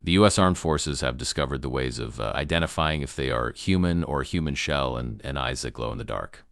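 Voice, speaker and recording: A man playing a grave emergency news broadcaster in an amateur apocalypse broadcast, gravelly, solemn and halting in his delivery. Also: a bass voice, fairly bright tone, dry recording, studio quality, heavily acted. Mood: somber. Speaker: news anchor.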